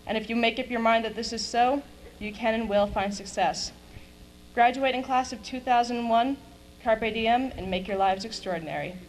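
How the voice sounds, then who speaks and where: A woman's voice: fairly high pitch, moderate pace. Noisy recording of a young woman speechmaking. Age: young adult. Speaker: graduating student speaker. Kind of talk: prepared graduation speech. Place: high school graduation ceremony, at a podium. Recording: clear hum and noise of event video.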